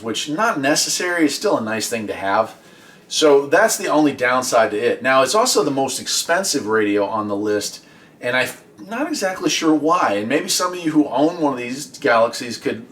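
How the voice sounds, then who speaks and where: A man's voice: medium pitch, very animated, moderate pace, a bit bright, slight background noise. A man hosting a casual product review vlog, talking in an informal, conversational and opinionated way. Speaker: host, radio hobbyist reviewer. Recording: voice distant from the mic.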